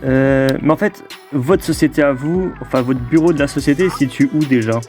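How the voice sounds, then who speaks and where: A man's voice: medium pitch, brisk, a little mellow, soft background music. A man in a casual phone conversation, with a teasing, playfully argumentative way of talking. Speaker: prank-call video creator.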